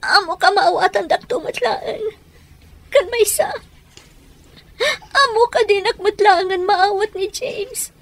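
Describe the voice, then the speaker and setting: A Filipino actress playing a troubled, pleading wife in a radio soap, plaintive and hesitant, with a Filipino accent. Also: female voice, very high-pitched, takes it slowly, vocal fry, long pauses, a bit bright, no room sound, heavily acted. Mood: tearful, pleading. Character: troubled, pleading wife confiding in her friend.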